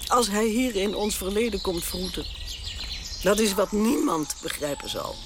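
A woman's voice: medium pitch, expressive, moderate pace, noisy background, natural voice. An actress playing an elderly, stubborn queen speaking to her granddaughter, dry and imperious. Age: older.

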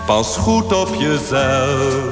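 A man's voice: mid-range voice, expressive, drawn-out words. A man singing a sentimental farewell song over musical accompaniment. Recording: reverberant song recording over loud music. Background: loud musical accompaniment.

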